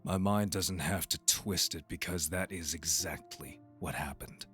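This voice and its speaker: An actor playing a scornful young monster hunter, a haughty estranged brother, in an audio roleplay drama with a sneering, deliberate delivery. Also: male voice, sits low, bright, forceful tone, breathy edge, faint music behind, full character voice. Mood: defiant contempt.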